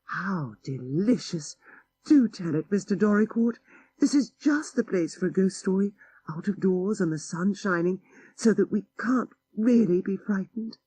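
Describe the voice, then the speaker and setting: Guy doing a gushy eager young posh lady for an audiobook, breathy, exclaiming, higher pitch. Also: expressive, unhurried, warm tone, heavily acted, poor audio. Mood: gushing, excited. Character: gushing, eager young society woman, playfully coy.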